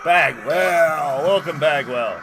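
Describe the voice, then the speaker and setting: Casual livestream host, high-pitched man, shouts out chat over game audio. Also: slow, music in the background. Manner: chatty, greets viewers by name. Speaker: live stream host doing play-by-play and chat shoutouts. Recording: game broadcast audio playing behind.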